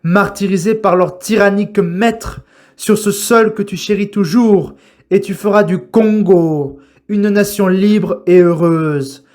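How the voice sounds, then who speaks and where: A man reciting a poem in a solemn, dramatic style, with declamatory, measured phrasing and deliberate pauses. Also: high-pitched, darkish tone. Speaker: poetry reciter.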